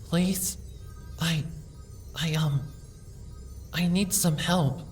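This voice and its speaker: A woman voicing a timid, sick young female pony who speaks softly and stammers as she pleads. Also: low voice, steady tone, drawn-out words, far from the mic, faint music behind. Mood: timid, shaky. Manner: soft, hesitant, stammering, pleading. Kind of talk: fan audiobook reading.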